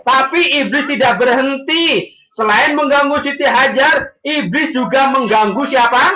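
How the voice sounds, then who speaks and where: Echoey high-voiced woman storyteller. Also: unhurried. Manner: exclamatory, questioning the children, repeating phrases. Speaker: children's storyteller with puppet. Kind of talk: animated religious storytelling for children. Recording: echoey room, loud music bed.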